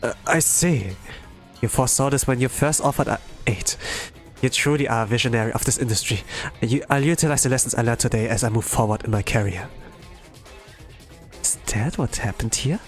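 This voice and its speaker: A streamer of unclear sex reading a game story aloud in a dramatic, halting way, stumbling over words now and then. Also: mid-range voice. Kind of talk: dramatic readalong with put-on character voices. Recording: music behind the voice.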